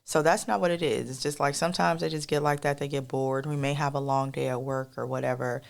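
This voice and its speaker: A woman co-hosting a podcast in a casual, rambling monologue with a soft, melodic voice, talking straight to listeners as y'all. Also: a bass voice, fairly even intonation, a little fast, creaky. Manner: rambling, addresses listeners as y'all, many fillers. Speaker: podcast co-host.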